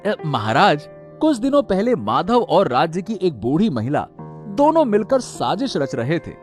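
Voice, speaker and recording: A man's voice: high voice, wildly swooping pitch, unhurried, faint music behind, full character voice. A man playing an earnest royal subject in a cartoon story, explaining things in a serious, urgent way. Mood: earnest, respectful. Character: earnest male subject exposing a conspiracy.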